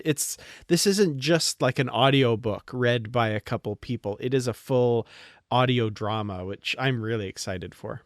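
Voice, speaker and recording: A man's voice: mid-range voice, no echo, studio quality. A man hosting a fan podcast, talking through entertainment news in a casual, conversational way. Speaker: podcast host.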